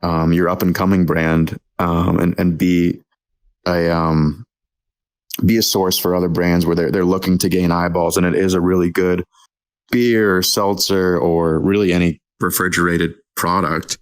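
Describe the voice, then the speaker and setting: Low, flat-voiced young man. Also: measured pace, clear, resonant tone. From Texas. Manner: hesitant, many ums and restarts. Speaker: podcast guest. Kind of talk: casual interview chat.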